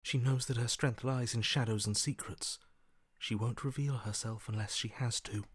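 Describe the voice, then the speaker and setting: An actor playing a wary, primal survivor's inner voice in a video game, speaking in terse, watchful phrases. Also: male voice, mid-range voice, steady tone, normal speed, slightly rough, no echo, natural voice. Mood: grim, watchful. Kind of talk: video game character voice acting.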